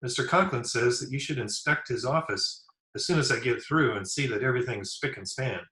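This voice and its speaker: Folksy teen boy, radio comedy, adult male actor: slangy, keen, piercingly bright, echoey remote recording. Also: mid-range voice, a little fast, close to an everyday voice. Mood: eager. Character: eager, folksy, simple teenage schoolboy. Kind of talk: old-time radio comedy acting.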